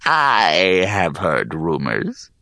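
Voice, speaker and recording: A man voicing an ancient, sly hag in an audiobook fantasy tale, with a gravelly voice and a languid, mock-polite manner. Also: low voice, huge pitch swings, very slow, pushed and bright, dry recording, studio quality, heavily acted. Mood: feigned indifference. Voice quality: creaky, gravelly. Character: ancient sly yawning hag, coolly dismissive. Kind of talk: audiobook character acting.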